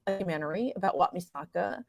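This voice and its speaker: A woman's voice: lowish voice, expressive, fast, vocal fry, a little mellow. A woman speaking as an interview guest about creative work, in a casual, effusive and appreciative conversational way. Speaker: playwright and performer, interview guest.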